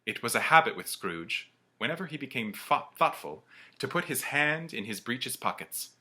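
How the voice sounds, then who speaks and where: A man's voice: medium pitch, sing-song, normal speed, pushed and bright. A man narrating a classic story from the page as a community reader.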